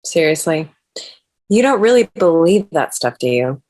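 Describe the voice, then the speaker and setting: Feisty young climber, played by a woman, animated delivery. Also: deep voice, a little slow, full character voice. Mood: scoffing, defiant. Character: feisty, teasing young female elite mountain climber. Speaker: actor in a table read. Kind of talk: conversational script reading.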